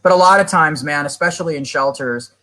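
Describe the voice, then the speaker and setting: A man with a bright, forceful tone and a slightly higher pitch explains shelter work in an earnest, plain-spoken way. He lays things out point by point and now and then calls his listener "man". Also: brisk, rough recording. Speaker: animal shelter expert guest. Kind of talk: casual interview answers.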